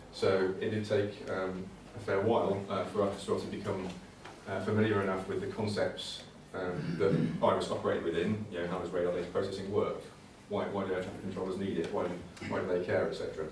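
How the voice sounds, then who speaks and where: A man's voice: low voice, a little mellow. A man giving an informal technical presentation, speaking in a hesitant, conversational way and often repeating words. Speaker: presenter at a computing conservation talk. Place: indoor talk venue. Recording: very echoey room, distant mic, faint noise.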